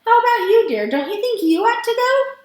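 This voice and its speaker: A woman's voice: very high-pitched, sing-song, brisk, pitched above the performer's own voice. A woman voicing an anxious, caring mother and wife in a storybook, fretful and coaxing. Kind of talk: storybook character reading. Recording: strongly echoing room.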